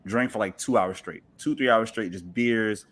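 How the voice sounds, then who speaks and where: A man's voice: lowish voice, normal speed, fairly bright tone. An American man from Virginia chatting casually as a podcast guest, slangy and joking. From Virginia, United States. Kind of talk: casual chat.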